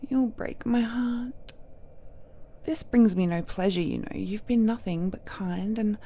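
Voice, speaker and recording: A woman playing an ancient godlike villainess in an intimate audio drama, seductive, possessive and falsely tender, with coy endearments and a breathy voice that often drops to a whisper. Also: mid-range voice, warm tone. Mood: falsely regretful. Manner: slow, coy, drawn-out endearments with teasing murmurs.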